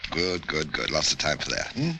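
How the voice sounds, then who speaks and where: An actor playing a scheming, murderous doctor with smooth politeness and a measured, hesitant manner in an old-time radio drama. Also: male voice, extremely low pitch, big pitch swings, sharp tone, a little hiss or hum, exaggerated voice. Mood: ingratiating, polite. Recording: old radio recording with music behind.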